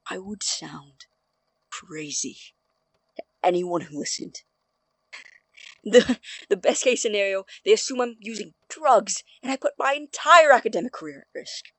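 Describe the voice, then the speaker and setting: An actor playing a nervous, defensive male college student scientist in an audio drama, with a halting, earnest delivery and a slightly husky, breathy voice. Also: mid-range voice, dry recording. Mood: agitated, defensive.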